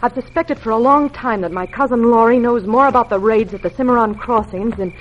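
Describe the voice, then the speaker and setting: An actress playing a scheming, sharp-tongued ranch woman in an old radio western, with an American accent. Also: fairly high pitch, fairly quick, close to an everyday voice. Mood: confiding. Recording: dull, noisy old radio recording.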